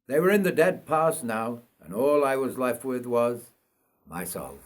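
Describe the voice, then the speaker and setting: An older man from North Yorkshire reads his own memoir aloud in a measured, reflective storytelling manner. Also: medium pitch, expressive. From North Yorkshire, England. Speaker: writer reading own memoir. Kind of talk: scripted autobiographical storytelling.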